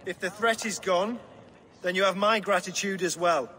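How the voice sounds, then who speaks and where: An actor playing a blunt, commanding, hot-tempered medieval lord in a video game. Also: male voice, high-pitched, normal speed, sharp tone, long reverb tail, slight background noise. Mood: gruff, formal. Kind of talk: dramatic game cutscene acting.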